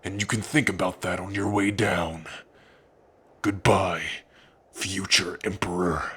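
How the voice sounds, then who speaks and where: A man voicing a gruff, fierce but tender orc warrior in an ASMR roleplay, with a husky, gravelly voice. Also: deep voice, little pitch movement, measured pace, sharp tone, full character voice. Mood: menacing. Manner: menacing to foes, tender with loved one.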